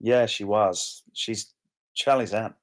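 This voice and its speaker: A man's voice: lowish voice, takes it slowly. A man playing a drained, concerned carer in a plain, conversational screenplay table read. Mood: weary, guarded. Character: Kai, drained, concerned man caring for a grieving friend.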